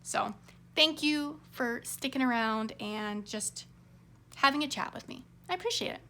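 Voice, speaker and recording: A woman hosting a craft vlog, rambling casually to her viewers, her voice a little hoarse. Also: high-pitched, unhurried, bright, forceful tone. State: slightly hoarse.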